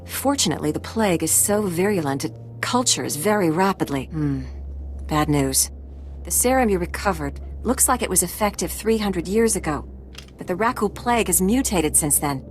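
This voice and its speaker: A woman acting a brisk, dry-witted medical researcher in a video game, wry and matter-of-fact. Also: on the low side, lively intonation, a little hiss or hum, close to an everyday voice.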